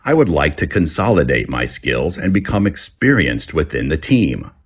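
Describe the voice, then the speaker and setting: A man reading scripted English-learning texts aloud as a teacher, in a gravelly, husky voice. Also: very deep voice, sing-song, moderate pace.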